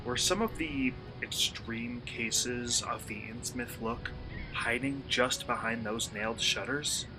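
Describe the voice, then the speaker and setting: A man playing a polite, inquisitive traveler in an eerie radio play, speaking in a courteous, measured, bookish way. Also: mid-range voice, music in the background, close to an everyday voice, low-quality recording. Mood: uneasy, brooding. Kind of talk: radio play acting and narration.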